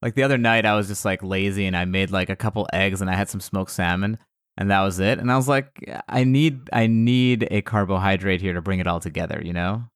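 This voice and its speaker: Casual podcast guest, American man, lowish voice, dry close sound, rambling storyteller. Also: a little fast, smooth, no room sound. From United States. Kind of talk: casual chat.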